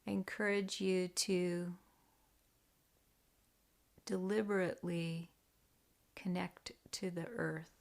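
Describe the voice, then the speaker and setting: A woman leading a guided meditation in a deliberate, gentle, hushed manner with long pauses. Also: fairly low pitch, level tone, gravelly. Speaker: meditation teacher.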